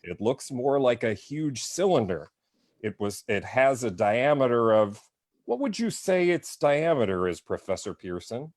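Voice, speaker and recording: A man's voice: mid-range voice, big pitch swings, a little slow, darkish tone. A man playing an earnest radio reporter, announcing a strange scene in formal, florid language. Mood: dramatic, earnest. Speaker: cast member in an online script reading. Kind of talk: live radio-news dramatic reading.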